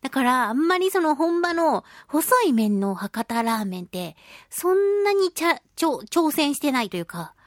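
A woman hosting a radio show, chatting casually and reading listener mail in a playful, expressive way. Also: sits high, normal speed, no room sound, studio quality. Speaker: radio show host, voice actress.

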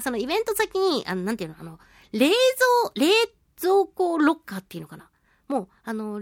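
A woman hosts a radio talk show on her own in a high, very animated voice. She tells stories casually and playfully, with a giggly manner and sound-effect words. The recording is close and dry. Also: no room sound. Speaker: radio show host, voice actress. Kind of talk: casual solo talk show chat, storytelling.

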